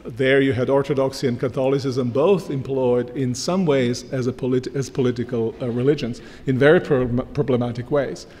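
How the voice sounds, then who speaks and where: A middle-aged man giving an academic lecture from a lectern, measured and emphatic. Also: mid-range voice, fairly even intonation, darkish tone. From Croatia. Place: large lecture hall, standing at a lectern. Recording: long hall reverb from lectern mic.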